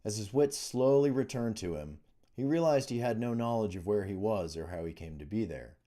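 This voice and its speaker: Low-pitched man narrating a fantasy tale. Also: animated, moderate pace, warm tone. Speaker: author reading own book. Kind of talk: scripted audiobook narration of a fantasy novel.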